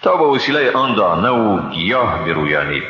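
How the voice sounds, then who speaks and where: Bright, reverberant Afghan Dari man reading scripture. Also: lowish voice, big pitch swings. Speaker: translation reader. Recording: echoey, long reverb, dull and noisy.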